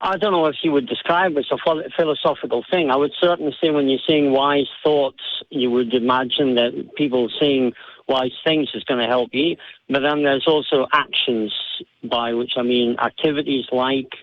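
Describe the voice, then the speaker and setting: A man's voice: medium pitch, fairly even intonation, normal speed. Scottish man on a muffled phone line. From Scotland. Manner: chatty, hesitant, many fillers. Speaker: caller. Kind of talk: phone-in call. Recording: dull phone-line audio.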